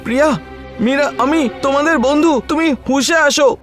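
A man's voice: very high-pitched, moderate pace, pitched above the performer's own voice. A man voicing an earnest, caring young friend in a horror cartoon, urgent and pleading. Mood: alarmed, pleading. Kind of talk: animated horror cartoon dialogue. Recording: loud music under the voice.

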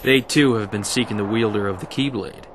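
A cool young swordsman guiding a game hero, acted by a man. He is blunt and low-voiced, with faint noise behind him. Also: brisk, lightly acted. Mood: serious.

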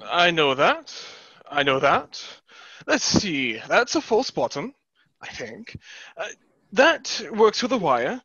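A man plays a brash young sceptic who thinks he knows every trick. The voice is high and sharp, drawn out slowly with mocking, halting mutters. It sounds like a lo-fi headset on a video call. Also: big pitch swings, husky, dry recording, exaggerated voice. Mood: smug, appraising. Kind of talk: stage play read aloud over video call. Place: room at home, on a video call. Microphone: headset boom microphone. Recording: dull, lo-fi headset call audio.

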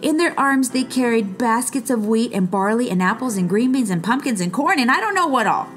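A woman reading a children's story aloud expressively, with dramatic pauses and stretched words. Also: on the high side, expressive, faint music behind. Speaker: storybook reader.

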